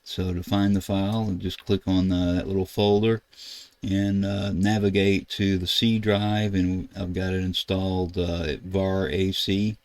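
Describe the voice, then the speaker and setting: Older man, low flat voice. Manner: halting, rambling explanation. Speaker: ham radio video host. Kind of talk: casual screen-recorded tutorial. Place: indoors at a computer desk.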